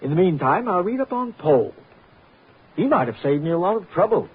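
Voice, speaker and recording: An actor playing a smooth, menacing crime boss who speaks with mocking politeness in an old radio drama. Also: male voice, high voice, big pitch swings. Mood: resigned, suave. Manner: deliberate, mockingly polite, echoing questions. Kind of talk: old-time radio acting. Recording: tinny, noisy vintage radio recording.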